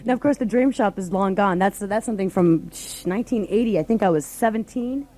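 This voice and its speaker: A woman's voice: mid-range voice, a little fast, a little mellow. A woman of about thirty chatting casually as a live morning radio host. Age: 30s.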